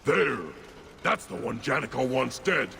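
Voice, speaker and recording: A man playing a menacing, fanatical dwarf cultist in a video game, declaiming fervently in a gravelly voice. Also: medium pitch, expressive, measured pace, sharp tone, exaggerated voice. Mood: fanatical defiance. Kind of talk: dramatic video game acting. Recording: reverberant hall with ambient noise.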